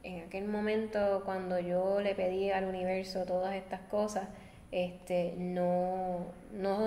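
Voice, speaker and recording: A woman speaks as an interview guest, earnest and reflective, pausing to find the right words. Her voice sits at an ordinary pitch and rings in a roomy space with a long tail of reverb. A faint hum runs underneath. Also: mellow. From Puerto Rico. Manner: thoughtful, searching for words, earnest. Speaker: guest designer. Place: seated at a table with coffee.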